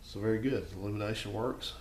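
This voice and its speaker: A man with a fairly low voice talks casually through a hands-on garage demonstration. He rambles and thinks aloud, often second-guessing himself. The recording is echoey, with clear noise behind him. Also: fast. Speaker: host of a home garage repair channel. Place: home garage.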